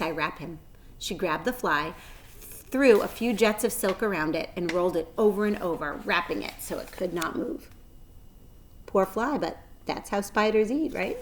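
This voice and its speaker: A woman's voice: mid-range voice, sharp tone, a little hiss or hum. A woman reading a children's story aloud like a teacher, expressively, addressing her young listeners.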